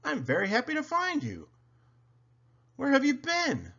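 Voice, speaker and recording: A man's voice: a little high, big pitch swings, moderate pace, creaky, sharp tone, highs cut off, pitched above the performer's own voice, exaggerated voice. A man voicing a kind, loyal male train engine from a children's storybook, calling out earnestly. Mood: happy relief. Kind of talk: children's storybook read-aloud character voice.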